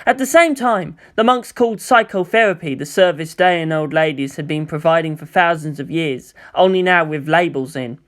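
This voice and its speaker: A woman reading a story aloud as an expressive narrator. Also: sits low, normal speed, few pauses. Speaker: audiobook narrator.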